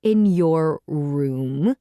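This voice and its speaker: Woman voicing an exasperated storybook mom, low, swooping pitch. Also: lively intonation, very slow, mellow, no room sound, studio quality, heavily acted. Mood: shocked, scolding. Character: exasperated, scolding mother of a young girl. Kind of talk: children's storybook read-aloud.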